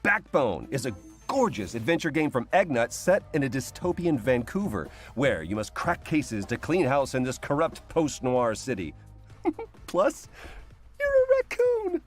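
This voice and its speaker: A man's voice: medium pitch, wildly swooping pitch, measured pace, soft background music. A man co-hosting a video game showcase, presenting scripted comedy in a playful, theatrical, jokey way.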